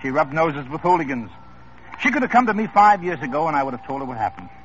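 An actor playing a blunt, cynical police detective who talks in a brisk, lecturing way in an old radio crime drama. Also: male voice, fairly high pitch, sing-song, a bit bright, a little hiss or hum. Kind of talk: 1950s radio drama acting. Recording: dull 1950s radio drama recording.

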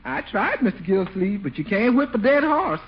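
An actress playing a cheerful, plainspoken cook and housekeeper in a 1940s radio comedy, folksy and giggly. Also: female voice, fairly high pitch, big pitch swings, normal speed, muffled recording. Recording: noisy 1940s radio recording.

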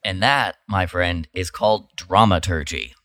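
A man's voice: sits low, measured pace, sharp tone, no echo, clean studio sound. A man co-hosting a podcast in casual, conversational talk. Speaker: podcast co-host. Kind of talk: casual chat.

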